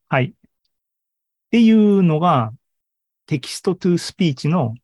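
A man's voice: fairly high pitch, big pitch swings, slow pace, a little mellow, husky, dry recording. Male podcast host. Casual live online presenting, informal phrasing, thinks aloud. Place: live online video call.

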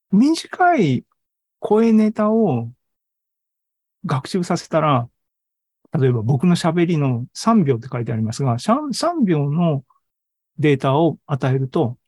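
A man's voice: on the high side, mellow, slightly rough, no room sound. A man giving an informal tech presentation in an online session, talking conversationally with frequent little tags and asides. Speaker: presenter at an AI hobby forum and podcast host. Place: online Zoom live session.

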